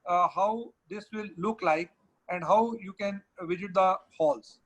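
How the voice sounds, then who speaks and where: A man's voice: high voice, measured pace, fairly bright tone, dull audio. A man with an Indian English accent hosting an online webinar, explaining a virtual trade fair and giving directions to a colleague. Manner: directs a colleague, switches between English and Hindi. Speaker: webinar host from the organizing body.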